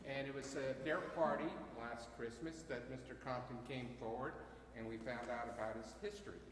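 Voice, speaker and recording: A man running a ceremony as its emcee, with a loud voice and a halting way of talking, full of uhs and self-corrections. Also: medium pitch, background noise. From Bucks County, Pennsylvania. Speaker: ceremony emcee and CAP wing commander. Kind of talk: ceremony address. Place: chapel. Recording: echoey chapel with long reverb.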